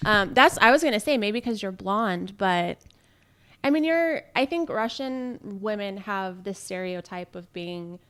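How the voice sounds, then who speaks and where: A woman's voice: medium pitch, animated, a little slow, a bit bright, clean tone. A woman co-hosting a podcast, introducing a guest with enthusiasm and plenty of fillers. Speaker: podcast co-host.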